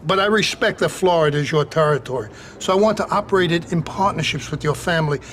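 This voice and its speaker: An actor playing a proud, older Sicilian mafia don, speaking slowly and formally in a game cutscene. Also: male voice, a little high, fairly bright tone, a little hiss or hum, lightly acted. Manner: slow, formal, dignified.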